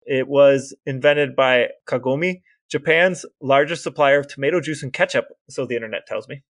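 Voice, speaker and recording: A man co-hosting a casual podcast, telling stories with enthusiasm and asking deadpan questions. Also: mid-range voice, normal speed, no echo, clean studio sound. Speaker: podcast co-host.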